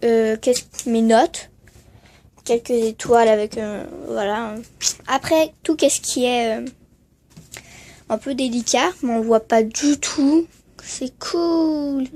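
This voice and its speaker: Quebecois girl, kinda high voice, stuffy cold, lots of euh and voilà. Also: slow, a little mellow. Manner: hesitant, many fillers and trailing voilà. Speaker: vlogger. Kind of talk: casual room-tour vlog. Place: bedroom at home.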